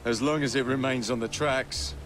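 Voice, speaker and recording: An actor playing a brash young Victorian gang fighter in a video game, with a wry, teasing delivery. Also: male voice, mid-range voice, steady tone, moderate pace, over music, lightly acted. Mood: wry, questioning. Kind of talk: video game dialogue acting.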